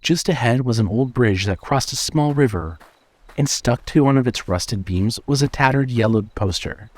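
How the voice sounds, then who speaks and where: A man's voice: medium pitch, expressive, normal speed. A man reading scripted suspense fiction as a narrator.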